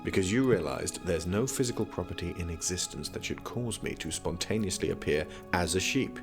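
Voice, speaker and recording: A bookish young prince in an audio drama. His voice is very low with a gravelly creak, and he talks drily and formally, teasing like a pedant. Music sits underneath. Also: animated, brisk. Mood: didactic. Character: erudite, teasing young prince studying magic. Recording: music behind the voice.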